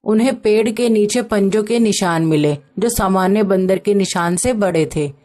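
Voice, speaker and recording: A woman's voice: mid-range voice, normal speed, dark tone. A woman reading a scripted story as its narrator.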